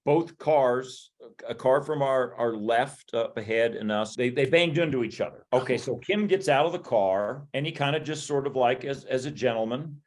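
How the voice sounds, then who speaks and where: A man chats on a podcast, winding through old stories with plenty of restarts and fillers. His voice sits in an ordinary middle pitch. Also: moderate pace. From California. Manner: rambling anecdotes with many restarts and fillers. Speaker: podcast guest. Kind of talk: casual storytelling chat.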